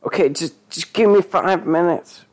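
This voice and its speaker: A man's voice: fairly high pitch, big pitch swings, pitched above the performer's own voice. A man voicing a nervous, frightened young man in a story, fumbling and pleading. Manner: stammering, pleading, drawn-out fumbling.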